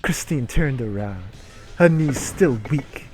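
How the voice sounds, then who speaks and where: A man reads a story aloud as a dramatic performance, with theatrical narration played for comedy. His pace is slow and deliberate, and music plays behind him. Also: medium pitch, animated, darkish tone. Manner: theatrical narration breaking into incredulous asides and giggles. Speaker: reader performing a dramatic reading. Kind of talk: comic dramatic reading of an erotic short story.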